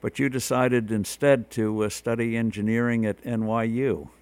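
A man hosting an interview show, giving political commentary and asking questions in a measured, conversational way. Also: medium pitch, steady tone, a little mellow, clean tone. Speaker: host.

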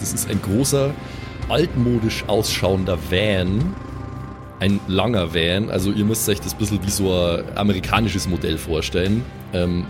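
A man, improvising as game master in a colloquial, descriptive way. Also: lowish voice. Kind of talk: improvised tabletop game narration.

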